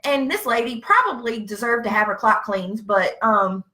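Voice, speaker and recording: Southern US woman, blunt folksy storyteller, bright forward voice, slightly high, casual live chat. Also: lively intonation, normal speed. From Virginia, United States.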